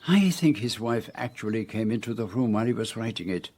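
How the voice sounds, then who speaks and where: A man playing a shrewd, theatrical Belgian detective in a radio drama, explaining deliberately and precisely in a Belgian French accent. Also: fairly low pitch, animated, a little mellow. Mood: explaining, assured.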